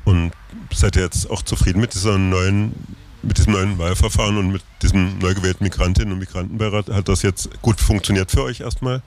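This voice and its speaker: A man with a low voice works as a radio host and interviewer. He talks informally, in loose, conversational phrases, as he presents and puts his questions. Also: steady tone, brisk, darkish tone.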